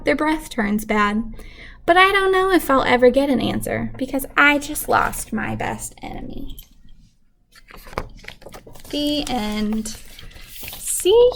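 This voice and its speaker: A woman's voice: sits high, gravelly, a bit bright. A woman reading a children's storybook aloud expressively, like a teacher with a class lesson.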